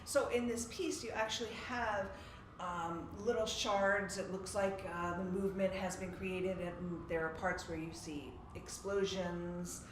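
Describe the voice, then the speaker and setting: A woman interviewing a guest, friendly and quick to laugh, prompting with short questions. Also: on the low side, steady tone, echoey room, noisy background. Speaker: interviewer, watch journalist. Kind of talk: casual interview.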